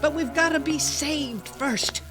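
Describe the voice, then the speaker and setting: A woman's voice: high-pitched, unhurried, music in the background. A woman playing a fervent, preachy older widow and religious zealot in a radio drama, insistent and sermonizing. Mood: zealous urging.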